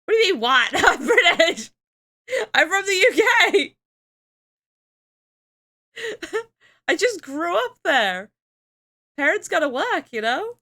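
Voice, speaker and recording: Bright, laughing young woman streamer. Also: extremely high pitch, very animated, slow, long pauses. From United Kingdom. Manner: laughs often, swears, reads chat aloud. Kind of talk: casual stream chat.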